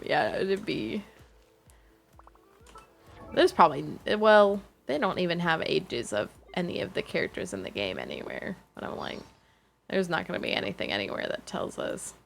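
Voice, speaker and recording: Rambling young woman streamer, gravelly voice. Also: fairly low pitch, big pitch swings, moderate pace. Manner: rambling, giggly, self-interrupting. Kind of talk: casual chat while gaming. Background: game audio and music.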